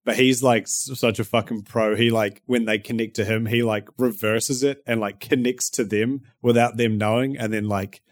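A man's voice: medium pitch, steady tone, normal speed, hardly any pauses, no echo, clean studio sound. A man with a New Zealand accent, chatting as a podcast guest, swearing often and laughing a lot. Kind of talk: casual chat.

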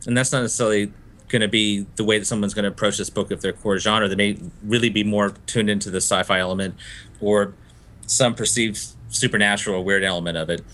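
Bright-voiced thoughtful novelist, a man. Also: fairly low pitch, fairly even intonation, fast. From Florida, US. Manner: thoughtful and discursive, laughs easily. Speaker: novelist guest. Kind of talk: interview conversation.

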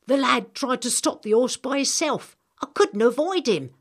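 A woman voicing brusque, rough working men from the street in an audiobook story. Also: high voice, animated, moderate pace, voice pushed up, heavily acted. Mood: defensive.

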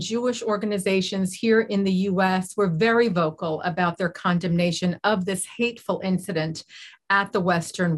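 A woman hosting a news interview program, speaking in a measured, formal way. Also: medium pitch. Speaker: host.

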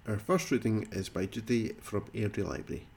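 A man's voice: on the low side, brisk, vocal fry. A man hosting a library podcast, introducing readings in a friendly, slightly rambling way.

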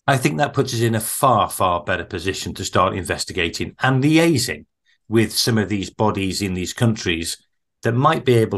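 A man hosting a podcast, interviewing a guest and then narrating the close in an earnest, measured way. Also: fairly low pitch, a bit bright.

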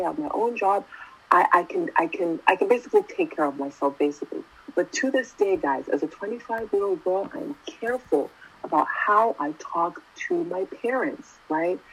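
A woman's voice: mid-range voice, slightly rough, poor audio. A woman in her twenties giving a casual sermon, talking conversationally and often asking "right?". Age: 20s. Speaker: youth preacher.

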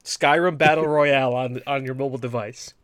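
A man's voice: medium pitch, fairly even intonation, a little fast. Rambling, joking podcast guy. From New England. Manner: rambling, rant-prone, joking. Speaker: podcast co-host. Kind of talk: casual chat.

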